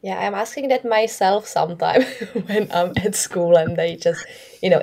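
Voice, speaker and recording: A woman who teaches at a school, answering interview questions in a measured, thoughtful way. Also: fairly low pitch, darkish tone. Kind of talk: interview conversation.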